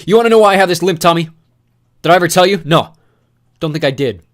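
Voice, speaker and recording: A man's voice: mid-range voice, fast talker, close to an everyday voice. A man voicing a furious young man sliding into madness, ranting and screaming bitter accusations. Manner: screaming, ranting, spitting rage.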